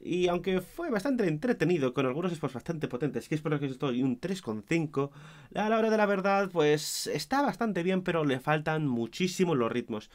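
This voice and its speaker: A hoarse young man giving an opinionated, self-mocking casual review, with his volume swinging between loud and mumbled. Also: fairly high pitch, big pitch swings, normal speed. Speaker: wrestling show reviewer.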